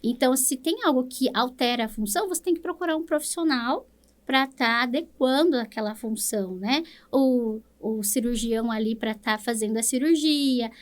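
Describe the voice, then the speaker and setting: A woman with a Brazilian Portuguese accent, a speech therapist explaining things patiently in an interview, often checking in with a tag question. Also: fairly high pitch. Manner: explains patiently, ends phrases with "né?".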